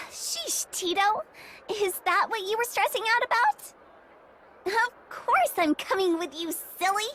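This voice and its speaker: A woman's voice: extremely high pitch, expressive, takes it slowly, sharp tone, heavily acted. A woman voicing a perky, sassy little sister in a video game, bubbly and teasing. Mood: teasing, confident. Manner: teasing, bubbly, plain-spoken.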